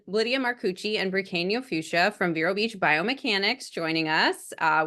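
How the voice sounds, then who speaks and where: A woman's voice: medium pitch, pushed and bright. A woman co-hosting a podcast, bubbly and gushing, with lots of fillers. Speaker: podcast co-host. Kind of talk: casual podcast chat.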